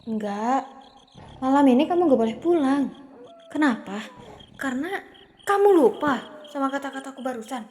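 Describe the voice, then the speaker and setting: An aloof young vampire woman, voiced by a woman in an ASMR roleplay, calm and protective, talking about dark things as if they were nothing. The voice sits a little high and carries an Indonesian accent. A steady hiss and crickets run under the recording. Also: moderate pace, pauses often. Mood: commanding.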